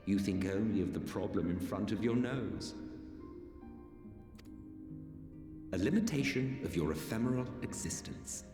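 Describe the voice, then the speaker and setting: An actor playing an ancient immortal villain in a video game, theatrical and condescending, with formal archaic phrasing. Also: male voice, lowish voice, fairly quick, lightly acted. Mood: disdainful lecturing. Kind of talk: dramatic video game acting. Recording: echoey hall, distant, loud music behind.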